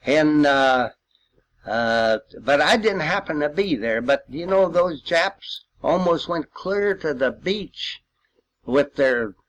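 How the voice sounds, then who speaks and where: Elderly male veteran. Unhurried, rambling, folksy storyteller with very lively intonation. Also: a little high. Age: 80s or older. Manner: folksy, rambling storytelling with old-time expressions. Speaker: World War II veteran sharing memories. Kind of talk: informal spoken reminiscence.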